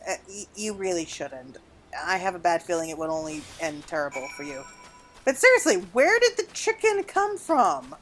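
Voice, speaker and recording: A woman giving casual, exclamatory gameplay commentary in an animated way. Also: fairly low pitch, normal speed, faint music behind. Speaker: gaming video creator. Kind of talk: casual gameplay commentary with reading aloud of game dialogue.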